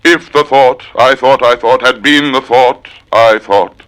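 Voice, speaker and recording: A man's voice: medium pitch, unhurried, a bit bright, low-quality recording. A man giving a theatrical recitation of wordplay with precise, dramatic enunciation. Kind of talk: theatrical tongue-twister recitation.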